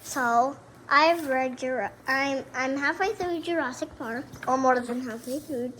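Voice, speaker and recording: Young child, high voice, slow casual chatter to viewers, slightly noisy home recording. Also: pushed and bright. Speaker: co-host of a homemade puppet show video.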